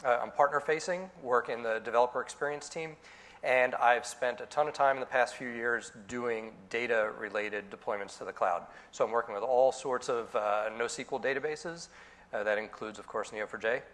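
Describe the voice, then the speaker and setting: A man's voice: medium pitch, moderate pace, fairly bright tone. A man giving a casual, joking introduction at a conference talk. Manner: jokey, casual, makes puns. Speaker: conference speaker.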